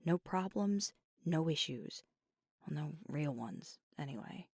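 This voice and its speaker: A woman acts out a fiery, proud werewolf girlfriend, worn out and confiding late at night. Her voice is low-set, husky and gravelly, often sinking into a breathy whisper. She talks haltingly in an intimate ASMR roleplay. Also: animated, no echo, clean studio sound, full character voice. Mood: frustrated, dismissive. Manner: hushed, halting, sighing, often slipping into whisper.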